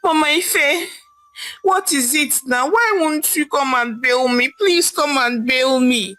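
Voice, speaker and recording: A man's voice: very high-pitched, lively intonation, unhurried, pushed and bright, voice pushed up, heavily acted. A woman voicing a pompous jailed man with a Nigerian accent, whiny and pleading with his wife.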